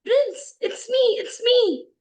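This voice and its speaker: A woman's voice: very high voice, slow pace, darkish tone, pitched above the performer's own voice. A woman voicing a devoted, earnest storybook princess with emphatic, repeated phrases. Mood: overjoyed.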